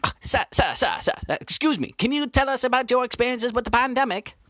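A woman playing a deadpan, falsely formal street reporter in a comedy sketch. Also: on the high side, fast talker, no room sound. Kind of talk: comedic mock news report.

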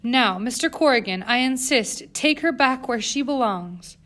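A woman's voice: medium pitch, normal speed, creaky, fairly bright tone. A woman voicing a fussy, disdainful older female governess in a storybook reading, prim and scandalized.

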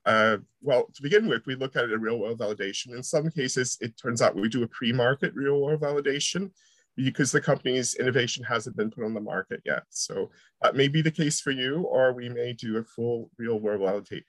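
A man's voice: mid-range voice, a bit bright, no room sound, rough recording. American man answering interview questions. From United States. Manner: hesitant, many uh fillers, friendly laughs. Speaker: university researcher guest.

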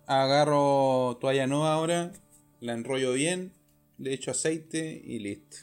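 A man's voice: mid-range voice, unhurried, clean tone, soft background music. A man with a Chilean accent, chatting casually with his siblings, sentimental and joking. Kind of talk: casual family chat.

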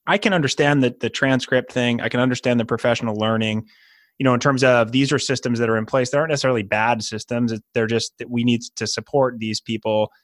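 A man's voice: mid-range voice, steady tone, fast, no room sound, clean studio sound. A man hosting a podcast interview, asking questions in a rambling, hesitant way with folksy asides. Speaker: podcast host.

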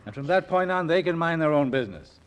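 A man's voice: on the high side, lively intonation, quick pace, dull audio, lightly acted. An actor playing a genial, plain-spoken middle-aged father, a small-town druggist, in 1940s radio drama style. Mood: gruffly evasive.

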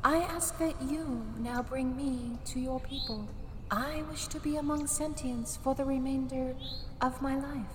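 A solemn female alien from an audio drama, played by a woman, speaks in a high voice with formal, measured phrasing. A long hall-like reverb surrounds the stranded, ageless being. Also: fairly even intonation, background noise. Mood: solemn, resigned.

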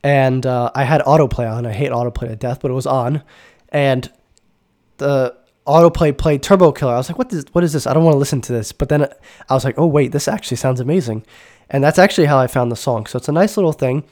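A man's voice: mid-range voice, quick pace, a little mellow. A man hosting a casual music podcast, sounding stuffy and nasal from a cold, talking enthusiastically with rambling asides and self-corrections. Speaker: podcast host.

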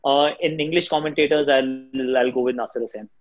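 A man from Delhi with an Indian accent, a cricket commentator whose first language is Hindi, answering interview questions in a shrill, quick, energetic way. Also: highs cut off. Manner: fast, energetic talker.

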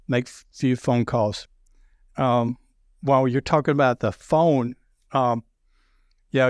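A middle-aged man with a deep Southern accent, talking loudly and telling sales stories with many restarts. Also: smooth, no echo, studio quality. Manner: loud, animated storyteller with frequent restarts. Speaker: sales podcast guest. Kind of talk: casual conversation.

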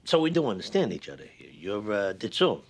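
A man's voice: lowish voice, wildly swooping pitch, gravelly, heavily acted. Cold, menacing man. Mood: cold menace. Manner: slow, cold, threatening. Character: menacing adult man confronting another. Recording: faint played film clip, distant.

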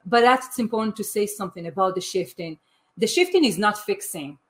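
A woman's voice: mid-range voice, animated, a little fast. A woman whose first language is Hebrew, talking as a life coach in a casual podcast conversation, eager and repeating words as she goes.

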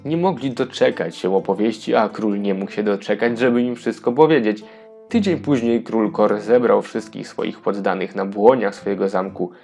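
A man's voice: lowish voice, normal speed, gravelly, darkish tone, faint music behind. A man narrating a scripted children's fairy tale as a storyteller.

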